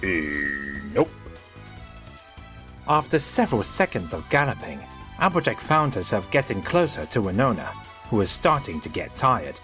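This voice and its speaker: A man's voice: mid-range voice, sing-song, normal speed, sharp tone, soft background music. A man narrating a scripted story in a storybook style.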